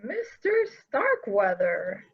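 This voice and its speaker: A woman's voice: mid-range voice, huge pitch swings, takes it slowly, breathy edge, exaggerated voice. A woman playing a dour, opinionated subordinate police officer with a folksy way of talking, in a dramatic reading of a murder mystery. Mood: suspicious. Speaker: actor in a library's dramatic reading. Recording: slightly dull, lo-fi audio.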